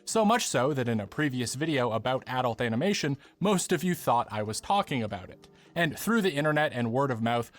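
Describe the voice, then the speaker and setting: A man delivering scripted video essay narration, speaking directly to viewers. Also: mid-range voice.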